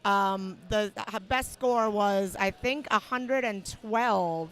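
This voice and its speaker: A woman commentating a tournament livestream, slightly hoarse today, explaining strategy in a relaxed way with many fillers. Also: medium pitch, takes it slowly. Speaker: match commentator. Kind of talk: casual sports commentary.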